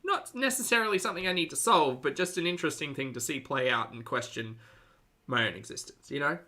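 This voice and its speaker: Bright-voiced man with dry sarcasm. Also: on the high side, fairly quick. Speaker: reaction stream host. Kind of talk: casual live commentary to a chat.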